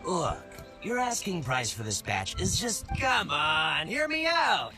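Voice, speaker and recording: A man's voice: fairly high pitch, big pitch swings, slow, heavily acted. A man voicing a talkative, cagey merchant who haggles hard in a video game. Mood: wheedling. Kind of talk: English video game voice acting. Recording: game music behind the voice.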